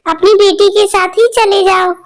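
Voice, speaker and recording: A woman voicing a cruel cartoon stepmother who talks in a sharp, scolding way. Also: very high voice, little pitch movement, unhurried, voice pushed up. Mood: snapping.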